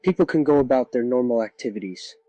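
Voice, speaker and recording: An actor playing a composed small-town sheriff, giving measured, official reassurance in a news interview. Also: male voice, mid-range voice, lively intonation, warm tone, no echo, faint music behind, lightly acted. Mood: measured, reassuring. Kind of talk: news interview in an audio drama.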